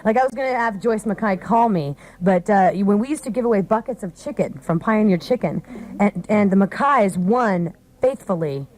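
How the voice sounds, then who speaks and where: A woman's voice: medium pitch, expressive, moderate pace, darkish tone. A woman of about thirty chatting casually as a live morning radio host. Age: 30s.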